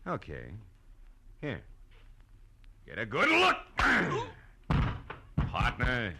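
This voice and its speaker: An actor playing a cynical, wisecracking private detective with an American accent in a 1940s radio drama, talking in sardonic, clipped lines. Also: male voice, mid-range voice, wildly swooping pitch, drawn-out words, gravelly, heavily acted. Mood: guarded. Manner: sardonic, clipped tough-guy talk. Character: hard-boiled, wisecracking male private detective, tough and cynical. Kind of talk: 1940s radio detective drama acting and first-person narration. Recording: noisy old radio drama recording.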